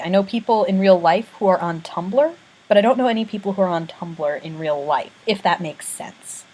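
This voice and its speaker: A woman's voice: fairly low pitch, darkish tone, low-quality recording. A young American woman chatting casually and reading a book passage aloud, with frequent ums and playful asides, recorded on a webcam in a small bedroom. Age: young adult. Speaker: vlogger. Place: small bedroom with bunk bed, indoors. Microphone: built-in webcam mic.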